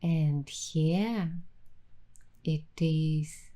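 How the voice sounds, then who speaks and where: A woman hosting an ASMR roleplay, talking softly and slipping into whispers now and then. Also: low voice, extremely slow. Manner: soft-spoken, slipping into whispers. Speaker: ASMR host.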